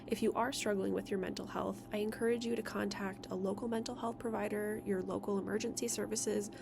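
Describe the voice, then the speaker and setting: A woman's voice: mid-range voice, fairly even intonation, fairly quick, creaky, noisy background. A young adult woman therapist hosting a casual solo podcast, chatty and self-deprecating with frequent small laughs, her nose stuffy from allergies.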